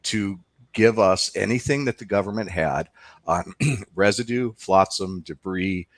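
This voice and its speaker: A man's voice: low-pitched, unhurried, no echo. A man speaking as a filmmaker guest in a conversational interview, explaining things emphatically.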